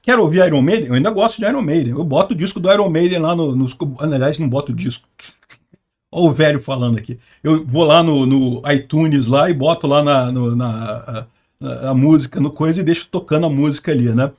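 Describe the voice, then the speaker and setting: A man in his mid-fifties with a voice pitched high for a man. He talks like he's chatting with a friend, rambling from point to point with lots of asides and quick check-ins with the listener. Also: darkish tone. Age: 50s. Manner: conversational, rambling, with frequent asides and tag questions. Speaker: political commentary channel host. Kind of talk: opinionated solo commentary to camera.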